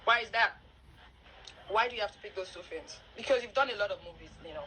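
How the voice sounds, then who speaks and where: A Nigerian woman hosting a live interview, reading viewer questions aloud and talking to her audience in a lively way. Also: mid-range voice, quick pace, a little hiss or hum, rough recording. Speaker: host. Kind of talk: live social media interview.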